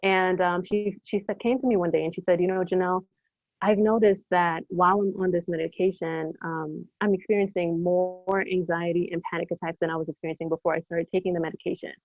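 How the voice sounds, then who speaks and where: Woman on a tinny call line, low-voiced. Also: fairly even intonation, no echo, soft background music. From Trinidad. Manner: measured, earnest, many ums. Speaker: guest, naturopathic doctor. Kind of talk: interview answers, personal story. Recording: tinny phone-like line, dry.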